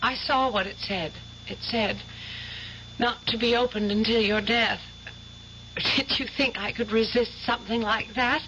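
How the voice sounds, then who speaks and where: A young woman speaks with wounded, accusing intensity. Her voice is fairly high and tense, delivered dramatically over the faint hum of an old radio recording. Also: steady tone, measured pace, pushed and bright, muffled recording. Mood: defiant.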